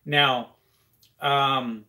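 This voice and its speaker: A man's voice: mid-range voice, steady tone, extremely slow, pushed and bright. A man hosting a live question-and-answer stream, chatting casually with many fillers and reading viewer comments aloud.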